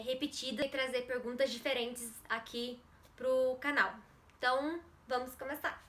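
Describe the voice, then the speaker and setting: A woman's voice: fairly high pitch, a bit bright. A young woman from Brazil with a Brazilian Portuguese accent, casually answering viewer questions in a chatty vlog and often correcting herself mid-sentence. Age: young adult. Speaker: YouTuber.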